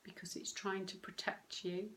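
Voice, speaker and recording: A woman leading a guided meditation, speaking gently and unhurriedly in short phrases with long pauses. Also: medium pitch, level tone, slight background noise. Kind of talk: guided meditation, soothing instruction.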